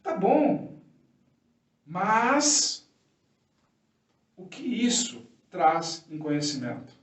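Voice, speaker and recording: A man's voice: high voice, very animated, drawn-out words. A man with a Brazilian accent giving an informal, off-the-cuff sermon in a didactic, colloquial way, posing questions and answering them. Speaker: preacher giving an opening teaching. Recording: roomy, echoey, distant microphone.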